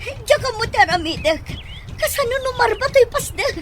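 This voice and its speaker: A woman's voice: very high-pitched, animated, normal speed. A woman acting an earnest mother in an Ilocano radio drama, with an Ilocano accent. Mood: alarmed. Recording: noisy radio drama recording, ambient sound effects.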